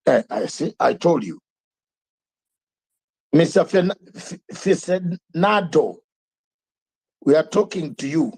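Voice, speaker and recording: A man's voice: on the high side, lively intonation, slow pace, pauses often, a little mellow, breathy edge. An older man hosting a live online talk show, speaking emphatically with repetitions and rhetorical questions. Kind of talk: fiery live commentary.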